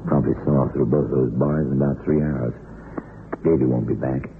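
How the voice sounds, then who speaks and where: A rock-bottom deep, weary older sheriff with a folksy voice, brooding over a guilty conscience in an old radio play. The sound is narrow and tinny, with light hiss. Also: male voice, fairly even intonation, moderate pace. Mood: matter-of-fact. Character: weary, guilt-ridden small-town sheriff and hangman nearing retirement. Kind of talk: old-time radio drama acting.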